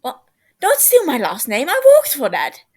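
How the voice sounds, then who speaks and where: A woman's voice: high voice, wildly swooping pitch, moderate pace, darkish tone, voice pushed up. A woman reading a story as a cheeky, blunt teenage boy who affectionately teases his best friend. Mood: exclaiming. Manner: teasing, blunt.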